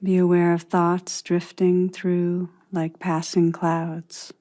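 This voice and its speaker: A woman with a slightly low, soft-edged and mellow voice leads a guided meditation. She speaks very slowly and soothingly, as a teacher would, leaving long pauses between phrases. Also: monotone.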